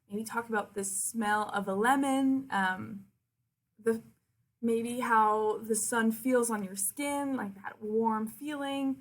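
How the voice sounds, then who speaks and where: French Canadian woman presenter, higher-pitched voice. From Quebec City, Canada. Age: 20s. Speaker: university liaison officer, presenter. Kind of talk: virtual open house presentation.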